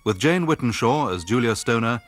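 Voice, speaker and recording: A man announces scripted radio credits in a mid-pitched voice. Violin music plays behind him. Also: animated, brisk.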